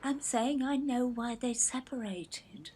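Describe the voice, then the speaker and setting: An actress playing a meek, nervy grown-up English daughter in an audio play, apologetic, hesitant and fretful. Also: female voice, on the high side, expressive, slow, a little mellow, husky, full character voice. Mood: hesitant, insistent. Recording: background noise, traffic ambience.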